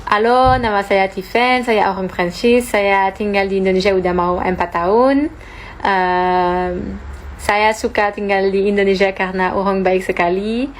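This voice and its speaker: A woman's voice: medium pitch, fairly even intonation, a little slow, slight background noise. A young French woman with a French accent, explaining thoughtfully and hesitantly while she searches for words. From France. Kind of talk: casual explaining to camera.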